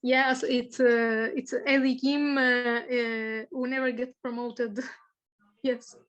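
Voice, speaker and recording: Halting, higher-voiced woman on video call. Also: flat delivery, dull audio. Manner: halting, hesitant, many pauses. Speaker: participant in an online fan meeting. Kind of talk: casual chat, describing a costume.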